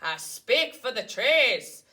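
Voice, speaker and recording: A woman voicing a small, old, bossy forest creature with a sharp, indignant voice in a children's storybook. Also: a little high, very animated, slow pace, full character voice. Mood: bossy, pleading. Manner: bossy, emphatic, declamatory. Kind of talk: children's storybook read aloud.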